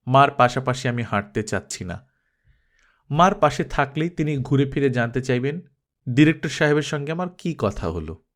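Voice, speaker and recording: A man's voice: mid-range voice, animated, normal speed. A man reading a story aloud as an audiobook narrator, in scripted literary prose.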